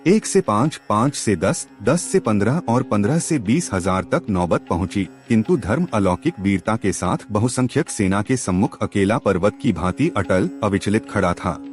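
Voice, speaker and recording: A man narrating a literary story as a scripted audiobook, with expressive, emphatic delivery. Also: fairly low pitch, moderate pace, few pauses, faint music behind.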